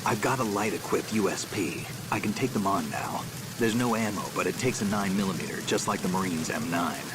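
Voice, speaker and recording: An actor playing a grizzled, terse special-ops infiltration agent in a video game, with a gruff, gravelly voice. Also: male voice, low-pitched, brisk, close to an everyday voice. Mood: guarded, cool. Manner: terse, tough. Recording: heavy rain noise behind.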